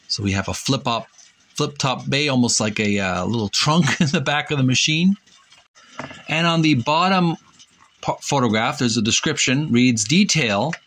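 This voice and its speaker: A man hosting a retro gaming channel, reading aloud from a book in deliberate, halting phrases and adding casual, folksy commentary. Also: medium pitch, a bit bright.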